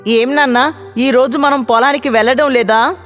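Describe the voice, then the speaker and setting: A woman voicing a loyal, gentle male pet monkey in an animated story, speaking earnestly. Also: high voice, normal speed, close to an everyday voice. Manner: earnest, soft-spoken pleading. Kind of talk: cartoon voice acting. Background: faint background music.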